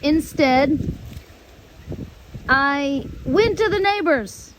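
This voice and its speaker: An American woman telling rambling personal stories in drawn-out, halting phrases with long pauses. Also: high voice, expressive, noisy background. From United States. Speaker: storyteller host.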